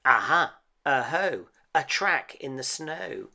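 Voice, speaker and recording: A man's voice: mid-range voice, animated, slow pace, gravelly, bright, forceful tone, voice pushed up, full character voice. A man voicing a bold, inquisitive young female monster child from a storybook, exclaiming and questioning. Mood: bold, excited.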